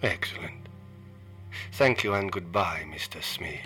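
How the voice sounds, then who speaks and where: Sinister dealer, male, cold and clipped, bright edgy tone, hushed menace, played by a man. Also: low voice, a little slow, faint music behind. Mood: cold, menacing. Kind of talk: radio play acting.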